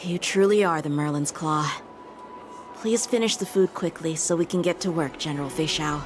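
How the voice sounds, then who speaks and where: A woman's voice: low voice, moderate pace, background noise, close to an everyday voice. A woman voicing an earnest, dutiful teenage boy knight lieutenant in a game, speaking formally and conscientiously. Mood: formal, impatient. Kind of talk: acted game story dialogue.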